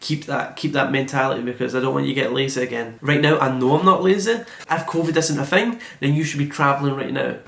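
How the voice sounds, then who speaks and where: A man's voice: medium pitch, fast, gravelly, few pauses, fairly bright tone, reverberant. A young man around eighteen recording an earnest, self-reflective birthday vlog, rambling with lots of "like"s. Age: young adult, about 18 to 19. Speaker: vlogger. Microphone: camera mic.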